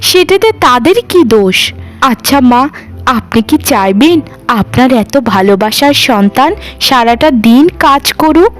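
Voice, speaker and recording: Cartoon role: put-upon new bride, played by a woman, high voice, plaintive, meek and long-suffering. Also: lively intonation, a little slow, faint music behind. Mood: pleading. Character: put-upon young new bride, meek, sorrowful, pleading daughter-in-law. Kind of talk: Bengali family cartoon dialogue.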